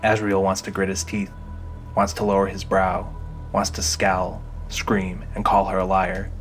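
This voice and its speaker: A man's voice: low voice, monotone, measured pace, soft background music. A man narrating a scripted audio drama.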